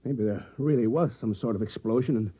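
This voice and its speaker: A man's voice: mid-range voice, fairly quick, husky, natural voice. A man acting a shaken, bewildered ordinary husband in a 1950s radio drama. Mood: dazed. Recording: dull vintage radio recording.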